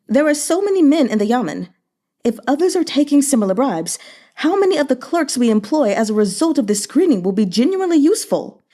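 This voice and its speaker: Woman voicing a grave, indignant male official, raised pitch. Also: dark tone. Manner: earnest, urgent, formal.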